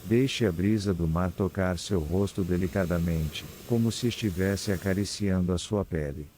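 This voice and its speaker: A man with a Brazilian accent guides a scripted meditation in a calm, soothing, unhurried manner with long pauses. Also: low-pitched, slight background noise. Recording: clear background ambience of ocean waves. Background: sea waves ambience.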